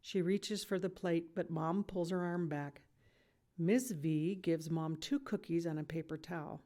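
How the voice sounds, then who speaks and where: Lower-voiced woman reading a children's novel. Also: measured pace, warm tone. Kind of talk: expressive storybook narration.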